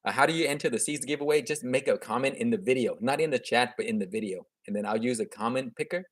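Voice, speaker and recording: A man's voice: mid-range voice, quick pace, dry recording, clean studio sound. A man in his thirties, a native Vietnamese speaker with a slight accent, hosting a casual live stream from a room at home into a webcam and answering viewer comments in an upbeat, chatty way with frequent restarts. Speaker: gardening channel host.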